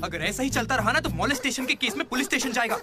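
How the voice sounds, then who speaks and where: A very high-voiced young college captain, bossy and excitable. He speaks with animated, pompous theatricality in a comedy film style, with music clearly behind him. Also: racing. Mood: indignant scolding. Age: young adult. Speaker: college basketball team captain.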